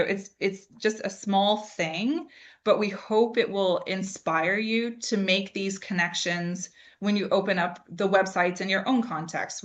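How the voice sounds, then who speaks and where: Female expert presenter, slightly low pitch, explanatory and conversational, uses rhetorical questions. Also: fairly bright tone. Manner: explanatory, punctuated with rhetorical questions and check-in tags. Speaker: AI expert presenting an online discussion. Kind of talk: informal spoken presentation.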